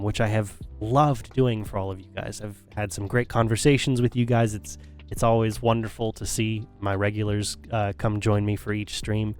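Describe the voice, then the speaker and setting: A man's voice: fairly low pitch, darkish tone. A male streamer talking casually to his viewers, rambling with many restarts and fillers, his voice strained and still recovering. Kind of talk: casual stream sign-off talk.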